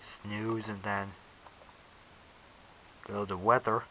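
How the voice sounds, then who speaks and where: Slow-talking man with a bright voice. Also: on the low side, little pitch movement, slight background noise, low-quality recording. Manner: rambling, talks while handling the device, many "so" and "uh". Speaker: radio collector showing his gear. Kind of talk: casual unboxing vlog.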